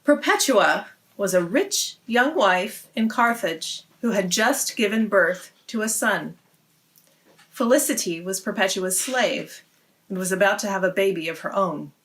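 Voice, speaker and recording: Lady reading a kids story out loud, medium pitched voice, hosting it. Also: expressive, a little slow. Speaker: read-aloud host. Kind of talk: children's story read-aloud.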